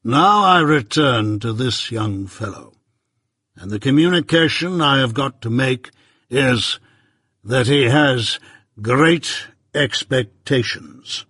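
A man playing a stern, imperious middle-aged London lawyer who speaks slowly and with command. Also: medium pitch, animated, sharp tone, breathy edge, dry recording, studio quality, full character voice. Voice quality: slow, measured, commanding.

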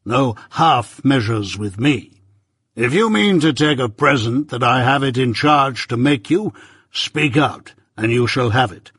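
A curt, commanding older lawyer, as a man puts him on, leans on each word with slow, clipped emphasis. The voice is husky. It is recorded close and dry. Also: mid-range voice, expressive, a bit bright, no echo. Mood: stern.

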